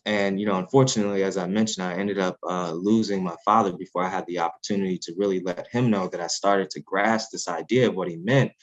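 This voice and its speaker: Guest voice: deep-toned man, reflective and earnest, unhurried with lots of ums. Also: steady tone, highs cut off. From United States, Haitian family. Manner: measured, earnest, many fillers and restarts. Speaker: podcast guest, author. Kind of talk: reflective interview talk.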